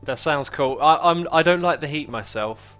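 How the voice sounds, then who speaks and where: A man's voice: a little high, moderate pace, fairly bright tone, faint music behind. A man with a British accent, chatting casually as a livestreamer in a friendly, rambling, anecdotal way.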